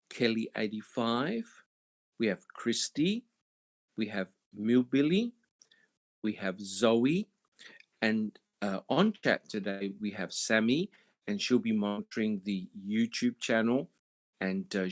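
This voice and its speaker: Halting low-voiced man hosting a podcast. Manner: halting, many uh and um fillers. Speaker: podcast host. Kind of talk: casual panel podcast chat.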